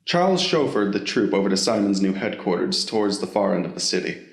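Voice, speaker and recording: Low-voiced male narrator with roomy echo. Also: sing-song, a little fast, vocal fry. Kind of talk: scripted story narration.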